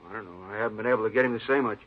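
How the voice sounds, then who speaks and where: An actor playing a talkative, puzzled appliance store manager, hesitant and seeking agreement, in an old radio drama. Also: male voice, fairly low pitch, racing, lightly acted. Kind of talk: 1950s radio drama acting. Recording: muffled old radio recording.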